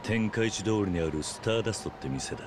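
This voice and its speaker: An actor playing a stoic, commanding middle-aged former yakuza in a video game, speaking tersely and gravely. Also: male voice, lowish voice, fast talker, background noise, lightly acted. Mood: calm. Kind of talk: acted video game cutscene dialogue.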